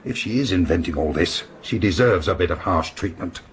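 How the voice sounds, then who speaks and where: An actor playing an irritable senior police officer who speaks curtly and dismissively in a radio drama. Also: male voice, sits low, lively intonation, brisk, slight background noise. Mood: impatient. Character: gruff impatient senior police officer man.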